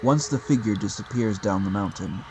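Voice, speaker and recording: A man with a fairly low voice reads scripted narration for an adventure audio drama. He tells the story as narrator, with very loud music running beneath his words. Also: normal speed, low-quality recording.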